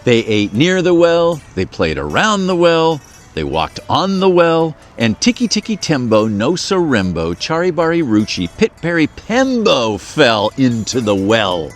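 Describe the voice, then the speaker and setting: A man reading a children's folktale aloud in a very expressive, playful storyteller manner. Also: on the high side, a little slow, hardly any pauses, soft background music.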